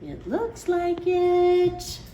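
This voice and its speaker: A woman reading a picture book aloud to children in an expressive, deliberate way, often turning to her listeners with questions. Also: extremely high pitch. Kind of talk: read-aloud storytelling with asides to child listeners. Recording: echoey room with faint background noise.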